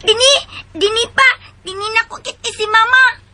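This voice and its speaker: A woman's voice: extremely high pitch, normal speed, bright, forceful tone, a little hiss or hum, heavily acted. A woman playing an insistent young girl in a Philippine radio drama, with a Cebuano Filipino accent. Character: young girl searching for her mother, insistent.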